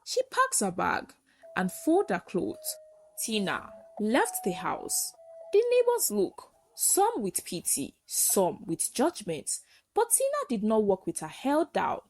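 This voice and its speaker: A woman narrating a folktale as a storyteller, with earnest, expressive and dramatic delivery. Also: mid-range voice, takes it slowly.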